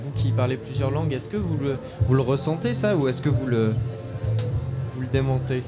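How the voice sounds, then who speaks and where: A man hosting a radio interview, asking questions in a halting way with many fillers. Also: medium pitch, loud music behind the voice. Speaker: radio host.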